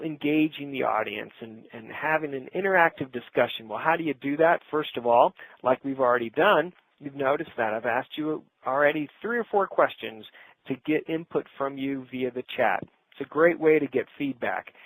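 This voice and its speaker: A man's voice: on the high side, dry recording, low-quality recording. A middle-aged man presenting a business webinar in an informal, conversational and instructive way, speaking directly to his audience.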